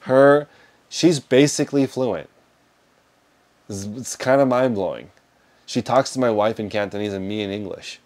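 A man in his thirties with a deep, low voice, talking through viewer questions in a relaxed, practical way. He gestures as he speaks, and a lapel mic picks him up up close in a storage room lined with shelves. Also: normal speed, long pauses. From United States. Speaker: reselling business host answering viewer questions. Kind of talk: casual livestream Q&A. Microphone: clip-on lapel mic.

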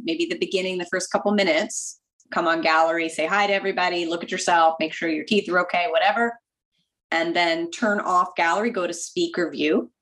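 A woman in her forties, a doctor guest on a video call, explaining practical tips in a clear, instructive way through wired earbuds. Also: on the low side, fairly bright tone, rough recording. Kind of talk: interview answers, practical tips. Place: home office with bookshelf.

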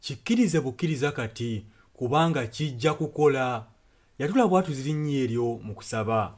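A man's voice: mid-range voice, expressive, fairly quick, soft background music. A man interpreting a sermon, following the preacher phrase by phrase. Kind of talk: spoken and sung translation of a sermon.

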